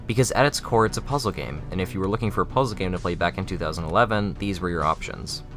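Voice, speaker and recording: A young man delivers scripted video essay narration. His voice is low and he moves along quickly, with faint music underneath. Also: fairly bright tone.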